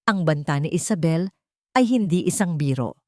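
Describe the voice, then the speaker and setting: A woman narrating a scripted audio drama with steady, dramatic storytelling. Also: low-pitched, moderate pace, dry recording, studio quality.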